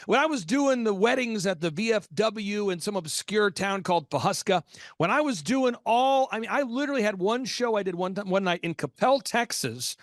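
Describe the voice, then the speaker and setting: A man with a notably high voice hosts a business podcast, talking in a dry, close-miked sound. He is animated and preachy, hammering on catchphrases and now and then breaking into a shout. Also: no echo. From Oklahoma. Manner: animated, preachy, repeats punchlines with sudden shouts. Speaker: podcast host. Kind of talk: energetic business podcast talk.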